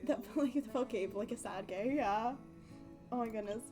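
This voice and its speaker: A woman's voice: on the high side, brisk, warm tone, faint music behind. A woman live streaming a video game, giving casual, playful, slangy commentary. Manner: playful, slangy, reading game text aloud.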